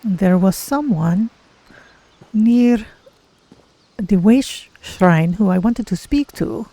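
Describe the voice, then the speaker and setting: A gentle, musing cat-folk adventurer played by a streamer with a dark, mellow, mid-pitched voice. The phrasing is deliberate and formal, like a weary traveler thinking aloud in a fantasy game. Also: expressive, poor audio. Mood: musing, calm. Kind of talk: in-character game roleplay.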